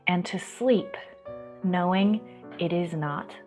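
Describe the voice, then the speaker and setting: Woman, lowish pitch, storytime host, gentle measured delivery, reassuring, faint music in the background. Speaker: children's library storytime host. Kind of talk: gentle picture-book reading and explaining to children.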